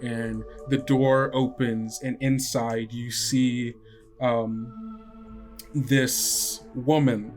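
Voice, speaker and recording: A man narrating as game master of a tabletop podcast, unhurried and often pausing on fillers. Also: mid-range voice, little pitch movement, a little mellow, faint music behind. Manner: unhurried, hesitant with many fillers.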